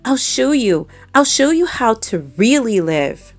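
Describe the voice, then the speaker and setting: Woman reader playing storybook city mouse, female: bubbly show-off, gushing, raised lively pitch. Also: measured pace, faint music behind. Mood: eager. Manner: gushing, exclamatory. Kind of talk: children's storybook character voice.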